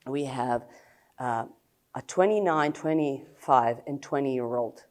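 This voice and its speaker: A woman in her fifties from Serbia with an American-tinged accent, a psychologist giving a blunt, open conference talk with many pauses and fillers. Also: rumbling low, a little mellow. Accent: American-tinged English.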